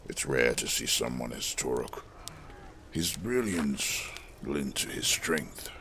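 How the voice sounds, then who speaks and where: An actor playing a weathered, solemn smith and former fire preacher in a fantasy audio drama, speaking in a gravelly, measured, reflective way. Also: male voice, extremely low pitch, animated, bright, forceful tone, slight background noise, full character voice. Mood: earnest, urging.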